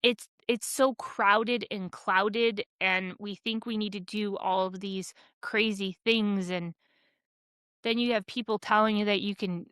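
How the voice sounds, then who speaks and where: A podcast co-host, she rants with fired-up energy, rambling and restarting as she talks. Her voice sits at an ordinary pitch and sounds close and dry, with no room around it. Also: fairly even intonation, unhurried, a bit bright, clear, resonant tone, no room sound. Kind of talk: candid casual chat.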